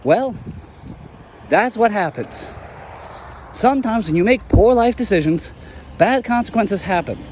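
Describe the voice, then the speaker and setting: Earnest young former hero, voiced by a man, halting, fairly high, noisy outdoor recording with traffic. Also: animated, darkish tone. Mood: reproachful. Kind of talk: audio drama acting.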